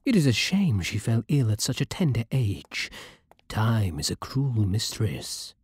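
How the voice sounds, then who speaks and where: Man as breathy, husky British vampire king. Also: fairly low pitch, sing-song, unhurried, dry recording, full character voice. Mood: courtly, smooth. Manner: silky, formal, commanding. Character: possessive, courtly, menacing vampire king. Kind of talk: slow intimate ASMR roleplay.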